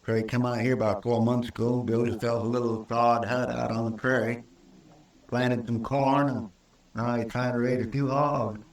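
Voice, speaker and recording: An actor playing an earnest, simple frontier townsman in an old radio western, folksy and halting, in a roomy vintage recording. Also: mid-range voice, little pitch movement, recorded from a distance, close to an everyday voice. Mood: earnest, deferential.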